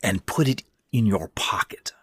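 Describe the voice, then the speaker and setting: A man's voice: lowish voice, animated, unhurried, husky, dry recording, studio quality. A man voicing an exhausted, sick old man in a horror story, irritable and commanding, with hissing, growling, emphatic delivery. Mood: hissing, impatient. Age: older. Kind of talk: dramatic horror fiction reading.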